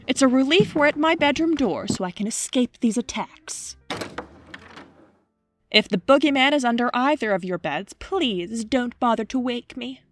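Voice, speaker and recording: A woman's voice: on the high side, expressive, moderate pace, lightly acted. Sardonic young skeptic, acted by a woman, music behind. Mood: dry, mocking. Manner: dry, sardonic. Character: skeptical, mocking young woman, a sarcastic prankster. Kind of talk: audio drama acting.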